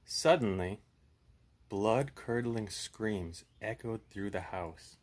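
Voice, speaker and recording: A man's voice: fairly low pitch, slow. A man in his thirties hosting a puppet show, chatting casually and reading a horror story aloud.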